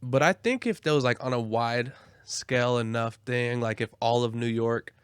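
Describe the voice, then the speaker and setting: A man's voice: mid-range voice, moderate pace, clean tone, no room sound. A man co-hosting a podcast in casual comic chat, slangy and sweary, acting out hypothetical scenes. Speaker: podcast co-host.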